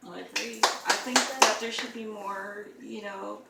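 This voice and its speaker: Gravelly woman, many fillers, echoey. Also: mid-range voice. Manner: halting, many "you know" fillers. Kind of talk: casual conversation. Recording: echoey room, mic far off, noisy.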